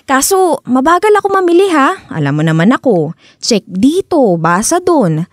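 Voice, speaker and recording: Lively, teasing young girlfriend. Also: sits high, no room sound, clean studio sound. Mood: light, affectionate. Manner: teasing, chiding, with small laughs and drawn-out particles. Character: young working girlfriend, teasing, practical, gently nagging. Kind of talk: radio drama acting, natural conversational dialogue.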